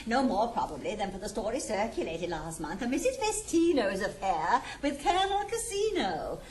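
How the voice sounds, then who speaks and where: A woman's voice: mid-range voice, big pitch swings, normal speed, a little mellow, natural voice. Woman acting a swooping, gushing English society gossip. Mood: gleefully scandalized. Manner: gushing, affected, exclamatory. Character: gossipy, falsely sympathetic older society lady. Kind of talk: theatrical Restoration-style stage comedy acting. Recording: roomy 1960s studio recording with hiss.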